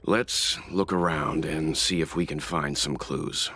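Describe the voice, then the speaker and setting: A man voicing a stoic, reserved young warrior in an anime game, speaking in a measured, serious manner. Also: a bass voice, steady tone. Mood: analytical. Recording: faint ambient noise behind.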